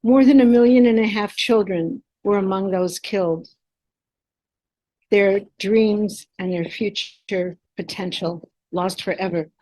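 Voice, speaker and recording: A woman from the United States speaking a measured, solemn dedication at a remembrance ceremony. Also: mid-range voice, fairly even intonation, dark tone, poor audio. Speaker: candle lighter at a remembrance ceremony.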